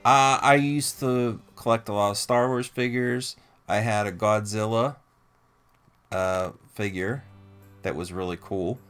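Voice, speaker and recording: A man's voice: on the low side, unhurried, fairly bright tone, smooth, soft background music. A man co-hosting a toy show in casual, informal conversation. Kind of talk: casual toy review chat.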